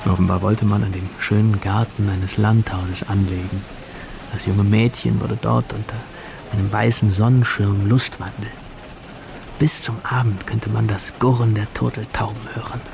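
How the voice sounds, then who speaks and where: An actor playing a lovestruck young male student who tells his story in hushed, hesitant literary narration for a radio play. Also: fairly low pitch, vocal fry, background noise. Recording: radio drama production with music behind.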